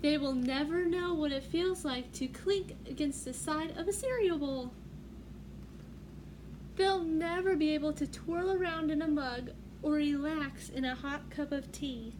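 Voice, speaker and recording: Lady doing kind reassuring mama spoon from a kids book, takes her time, noisy recording. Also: sits high. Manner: soothing, unhurried encouragement. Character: gentle, reassuring mother spoon. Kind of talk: children's picture book read-aloud. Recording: clearly noisy room background.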